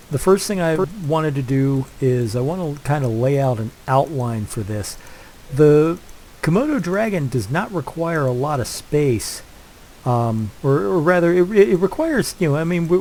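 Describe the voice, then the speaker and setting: A man's voice: medium pitch, darkish tone, slight background noise, rough recording. An older man hosting a game let's play, chatting casually and congested from a head cold, rambling with lots of fillers and restarts. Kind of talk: casual gameplay commentary.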